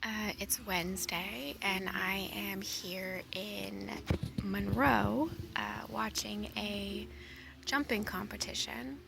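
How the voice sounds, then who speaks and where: A woman's voice: medium pitch, fairly even intonation, slow pace, vocal fry, heavy background noise. A young woman from the Pacific Northwest, chatting casually and candidly to the camera in a vlog, with self-mocking asides. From Washington State, USA. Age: young adult. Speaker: vlogger.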